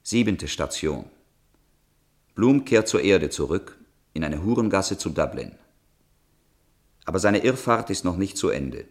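Deep-voiced male radio play announcer. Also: expressive, a little slow, pauses often. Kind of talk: scripted narration, radio play scene summaries.

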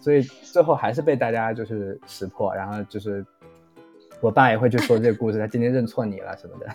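A man chatting casually as an interview guest, halting and a little self-deprecating. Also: lowish voice, a little mellow, soft background music. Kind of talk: casual anecdote and chat.

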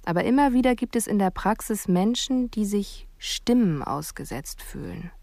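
An actress playing a sceptical police investigator, narrating a reflective, questioning inner monologue in a radio drama. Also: female voice, mid-range voice, expressive, normal speed, creaky, dark tone, no echo, studio quality. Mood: brooding.

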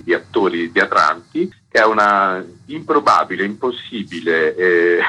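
A man's voice: low-pitched, bright, forceful tone, husky, low-quality recording. A man speaking as an interview guest, explaining an arts programme as its curator. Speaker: guest, festival curator and theatre director.

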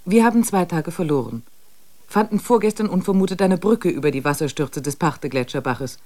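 A man narrating a wilderness expedition diary in a radio drama, measured and matter-of-fact. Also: fairly high pitch, a little mellow.

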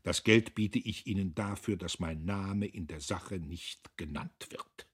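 A man voicing a blustering, pompous and anxious middle-aged factory owner, emphatic and then ingratiating, with a husky voice. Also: deep voice, measured pace. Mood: wheedling. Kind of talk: audiobook character voice.

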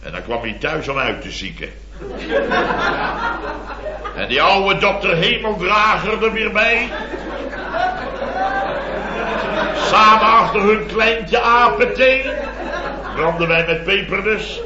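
An actor playing a blustery, boastful middle-aged building contractor in a radio comedy, rambling and exclamatory. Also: male voice, high voice, expressive, measured pace, long pauses, background noise. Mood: boastful relish. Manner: rambling, exclamatory, constant tag words. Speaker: comic lead actor. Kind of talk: 1970s radio comedy acting. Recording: dull old radio recording, roomy, audience laughter.

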